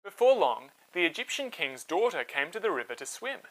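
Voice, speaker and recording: Male storyteller and host for children's narration: animated, bright, dramatic, clear phrasing. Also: high-pitched, fairly quick, low-quality recording.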